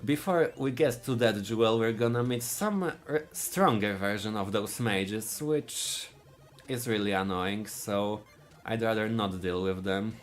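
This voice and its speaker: A man from Poland with a Polish accent, giving live speedrun commentary in a halting way, often restarting his sentences. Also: lowish voice, faint music behind. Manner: halting, searching for words, frequent restarts.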